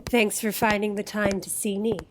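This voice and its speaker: A woman playing a sardonic, forceful American army general in an audio drama, brusque and sarcastic. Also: mid-range voice, little pitch movement, normal speed, noisy background, close to an everyday voice. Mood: sardonic, pressing.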